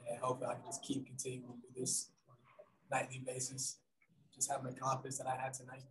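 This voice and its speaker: A young man answering post-game press questions in a soft, hesitant way with many pauses and ums, over a video call. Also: medium pitch, monotone, slight background noise. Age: young adult. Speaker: basketball player at press conference. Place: room in front of team backdrop. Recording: roomy video call audio.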